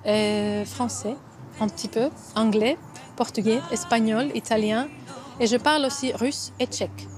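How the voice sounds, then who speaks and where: A woman singer from Cyprus, native Greek speaker, talking in French in an unhurried way. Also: fairly high pitch, steady tone. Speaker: singer interviewed.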